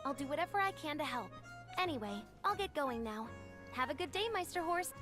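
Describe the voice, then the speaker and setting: A woman's voice: high voice, expressive, brisk. A woman playing a modest, honest young friend in an anime game, courteous and earnest. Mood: earnest, friendly. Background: game music.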